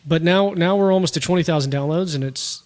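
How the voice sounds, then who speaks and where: A man co-hosting a casual podcast, easygoing and quick to laugh. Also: a little high, darkish tone. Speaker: podcast co-host.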